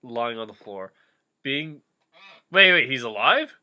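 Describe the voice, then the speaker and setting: A man's voice: medium pitch, big pitch swings, takes it slowly, bright, forceful tone. A man giving a comedic reading of fan fiction, breaking off into casual, jokey asides.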